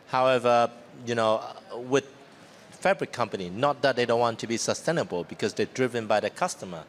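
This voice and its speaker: A man giving a live business presentation in informal, simple English. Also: medium pitch. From Vietnam and New Zealand. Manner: informal, simple non-native English phrasing. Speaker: company founder, presenter. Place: seminar stage at a trade fair. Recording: noticeable ambient background noise.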